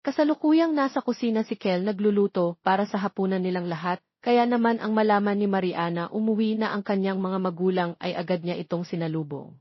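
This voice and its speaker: A Filipino woman narrates a romance story as an audiobook reader. Her voice sits at a middle pitch. The recording is very dry and close, with a slightly dull top end. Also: a little fast, hardly any pauses, dry recording.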